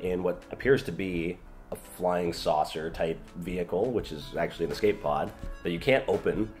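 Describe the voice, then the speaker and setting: A man's voice: low-pitched, moderate pace, darkish tone, soft background music. A man in his twenties co-hosting a film review show, chatting casually in a small living room.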